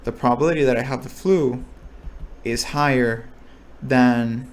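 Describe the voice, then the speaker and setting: A man's voice: medium pitch, steady tone, a little slow. A young man explaining a probability lesson, thinking aloud with restarts and checking in with his listeners. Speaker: recitation instructor. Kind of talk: explaining a lecture topic.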